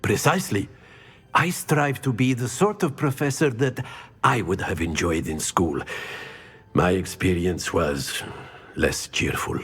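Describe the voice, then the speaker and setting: An actor playing a cheerful, kindly middle-aged professor at a magic school in a video game, with a theatrical, musing way of talking. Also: male voice, sits low, measured pace, fairly bright tone, breathy edge, full character voice. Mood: wistful, guarded. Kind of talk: video game dialogue acting.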